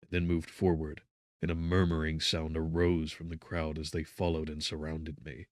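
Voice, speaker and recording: A man with a very deep voice narrates gothic fiction. His delivery is dramatic, solemn and measured. The recording is dry, with hardly any room around the voice. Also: completely flat delivery, no echo. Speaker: audiobook narrator.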